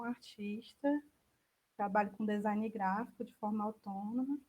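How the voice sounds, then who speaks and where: A woman's voice: on the high side, a little mellow, poor audio. A woman with a Brazilian accent giving an informal online talk in a tentative, self-correcting way. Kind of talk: informal presentation. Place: indoors on an online video call.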